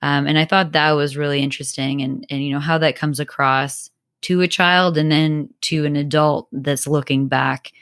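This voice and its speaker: A woman's voice: deep voice, fairly even intonation, moderate pace, a bit bright, smooth, dry recording. A woman co-hosting a podcast in a conversational style. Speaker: podcast co-host.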